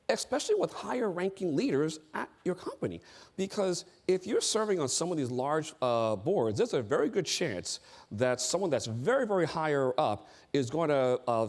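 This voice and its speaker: A man's voice: fairly high pitch, darkish tone. A middle-aged man from the United States giving an emphatic seminar talk on board service, repeating his key phrases.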